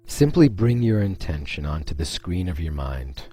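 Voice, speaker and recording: A man leading a guided meditation, speaking in a slow, soothing way with pauses between phrases. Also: deep voice, expressive, dark tone, breathy edge.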